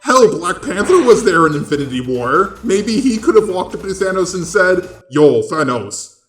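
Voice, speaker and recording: A man's voice: sits high, lively intonation, moderate pace, fairly bright tone. A man delivering scripted video commentary in an emphatic, sarcastic and incredulous manner. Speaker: video essayist host. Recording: roomy echo, music behind. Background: background music.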